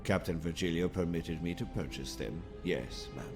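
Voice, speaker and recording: Low, measured alien naval officer. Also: male voice, over music. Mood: calm, deferential. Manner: formal, measured, precise. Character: calm, calculating alien male naval officer. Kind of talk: audio comic drama acting.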